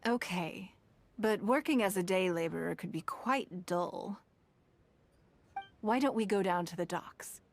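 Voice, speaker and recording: A woman's voice: mid-range voice, a little slow, pauses often, slight background noise, close to an everyday voice. An actress playing a shrewd, wealthy noblewoman in a video game, composed, assured and refined. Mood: confident, inviting. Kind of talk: video game character acting.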